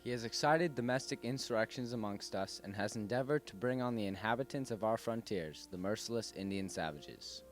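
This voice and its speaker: A man's voice: on the low side, a little fast, few pauses. A man reading a formal historical document aloud. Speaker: reader. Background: music.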